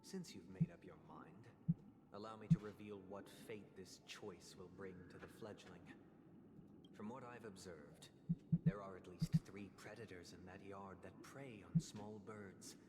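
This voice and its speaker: An actor playing a composed young man who calmly recounts his past choices in a soft, measured way. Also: sits low, very noisy. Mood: solemn. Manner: measured, solemn, softly spoken. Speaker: game character narrator. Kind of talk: scripted game voice acting. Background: game music.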